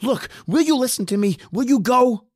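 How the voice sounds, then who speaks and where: A man's voice: extremely high pitch, expressive, moderate pace, no echo, studio quality, voice pushed up. A man playing a desperate, injured husband trapped underground in a horror radio drama. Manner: pleading, ragged, then defiant.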